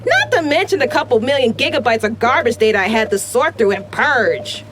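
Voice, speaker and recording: Snappy, cocky female hacker, high and very lively, sarcastic heist-crew know-it-all, faint noise behind. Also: brisk. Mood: indignant, boastful. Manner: sarcastic, brash, rattles off jargon. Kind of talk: animated audio drama acting.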